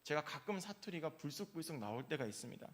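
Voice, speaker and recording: A man's voice: on the high side, sing-song, fast talker. A male pastor giving an early-morning sermon in a church, telling personal stories in a conversational way with frequent filler sounds. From South Korea, grew up in Gyeongsang region.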